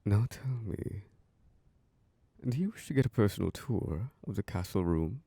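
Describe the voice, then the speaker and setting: A man voicing an ancient, charming and possessive male vampire lord who hosts a masquerade, speaking in a slow, seductive, close-mic ASMR roleplay with soft chuckles. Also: lively intonation, no room sound. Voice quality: low gravelly voice. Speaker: castle host.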